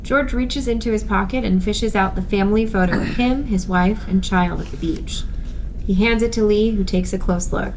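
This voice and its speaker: A woman speaks at a medium pitch as the host and narrator of a script table read. There is some noise in the background behind her voice. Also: steady tone, moderate pace. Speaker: host and stage-direction reader.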